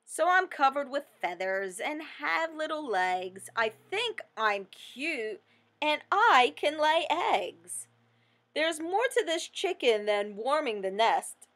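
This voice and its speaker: A woman's voice: sits high, very animated, slow, fairly bright tone, voice pushed up, exaggerated voice. A woman voicing a jealous, boastful little hen in a storybook, with indignant, emphatic delivery. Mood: boastful, scornful.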